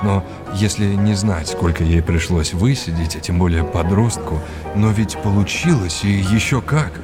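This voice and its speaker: A man's voice: deep voice, moderate pace, over music, close to an everyday voice. An actor playing a courteous, erudite male art historian in an audio drama, explaining and quizzing like a teacher. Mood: engaged, explanatory.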